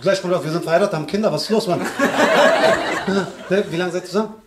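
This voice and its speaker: Teasing high-voiced comedian in a club. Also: male voice, quick pace. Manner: loud, teasing, slangy, laughing often. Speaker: stand-up comedian. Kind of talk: crowd work at a live comedy show. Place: small comedy club stage with audience. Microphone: handheld stage mic. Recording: echoey club with audience noise.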